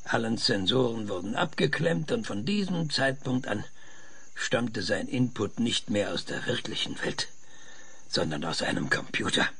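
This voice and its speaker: Excitable, frail elderly male professor for radio drama: husky, animated, emphatic delivery. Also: mid-range voice, measured pace, exaggerated voice. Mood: eager explaining. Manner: emphatic, dramatic explaining with swelling intensity. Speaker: professor being interviewed. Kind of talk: German radio drama acting.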